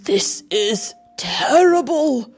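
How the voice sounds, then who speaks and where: Lady doing a flustered vain emperor from a storybook, theatrical, slow n drawn out, soft music behind. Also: fairly high pitch, big pitch swings, heavily acted. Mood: dismayed, doubting.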